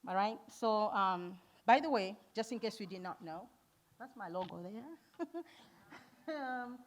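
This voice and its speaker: A woman's voice: mid-range voice, animated, a little slow. Woman from Zambia, middle-aged, nurse speaker, earnest, chuckles often, handheld mic. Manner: warm, earnest, laughs often, many fillers. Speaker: nurse and foundation founder speaking at an event. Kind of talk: conference talk. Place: event hall.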